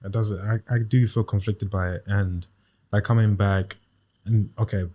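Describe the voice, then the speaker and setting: A man's voice: fairly low pitch, fairly even intonation, mellow. A man from Ghana, a voice artist, talking reflectively as a guest in a casual podcast chat. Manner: reflective, measured.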